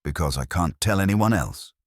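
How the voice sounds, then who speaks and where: Man voicing a deep, haunted, weary soldier. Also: animated, dry recording, studio quality. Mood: weary, bitter. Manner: grave, confessional, halting short sentences. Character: weary, haunted male soldier burdened by guilt.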